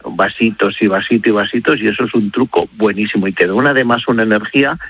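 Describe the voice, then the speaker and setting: A man's voice: fairly low pitch, fast talker, breathy edge, no room sound, dull audio. A middle-aged man from Spain with a Castilian accent, talking effusively and conversationally on the radio, rambling and repeating words for emphasis. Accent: Castilian Spanish. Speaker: radio travel and food contributor. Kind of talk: enthusiastic conversational radio talk.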